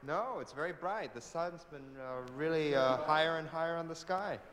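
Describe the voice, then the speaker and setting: A man's voice: fairly high pitch, normal speed, a bit bright. Hesitant man in an echoey hall. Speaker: caller in a phone link event. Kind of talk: casual phone chat.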